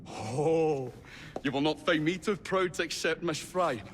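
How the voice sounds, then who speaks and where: A man's voice: fairly high pitch, unhurried, lightly acted. Man as flustered Victorian inventor, some background noise. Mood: flustered, courteous. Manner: flustered, hesitant, old-fashioned polite. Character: earnest, flustered, courteous Victorian male inventor. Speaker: game character actor. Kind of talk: video game cutscene acting.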